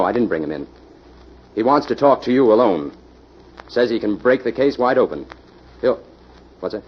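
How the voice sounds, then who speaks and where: A confident detective hero gives clipped, authoritative instructions in a low, highly animated voice. It is classic radio drama on a muffled recording with faint noise. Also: male voice, breathy edge, full character voice. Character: confident, commanding male city detective hero. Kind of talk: old-time radio drama acting.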